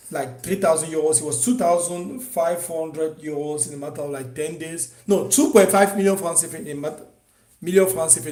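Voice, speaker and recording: Animated man from Cameroon, echoey room. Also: on the high side. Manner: animated, repetitive, frequent restarts and fillers. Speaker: online business course instructor. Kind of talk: unscripted course presentation.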